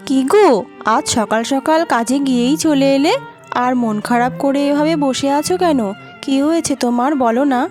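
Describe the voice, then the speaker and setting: Very high-voiced gentle young wife played by a woman. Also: moderate pace, natural voice. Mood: concerned. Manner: soothing, encouraging. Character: gentle, steadfast young wife from poor family. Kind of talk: cartoon dubbing. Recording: music behind the voice.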